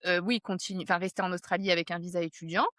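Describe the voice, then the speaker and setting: A woman's voice: lowish voice, steady tone, racing, a bit bright, no echo, clean studio sound. A woman hosting a podcast, interviewing in an informal, inquisitive way. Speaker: podcast host. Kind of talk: casual conversational interviewing.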